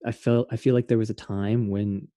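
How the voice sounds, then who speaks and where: A man's voice: fairly low pitch, level tone, normal speed, dark tone, dry recording, studio quality. A man, a theatre artist, hosting an earnest and reflective conversation. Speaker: interview host, theatre artist.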